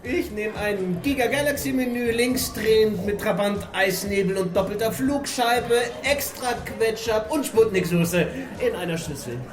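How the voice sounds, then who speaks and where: An actress playing a ravenous, eager alien companion in a children's radio play. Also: female voice, medium pitch, measured pace, hardly any pauses, lightly acted. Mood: hungry, brisk. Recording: echoey, music and crowd behind.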